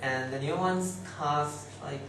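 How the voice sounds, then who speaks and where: A young man from Taiwan with a Taiwanese accent, giving an informal student talk in chatty, halting English and often repeating phrases. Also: on the high side. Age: young adult. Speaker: student speaker. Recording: very echoey noisy room, distant mic.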